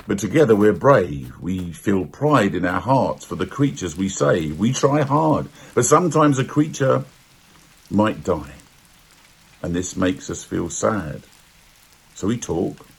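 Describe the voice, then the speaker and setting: A man voicing a kind, reassuring firefighter wolf dad in a children's storybook, with a husky voice and gentle, measured manner. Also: fairly low pitch, exaggerated voice.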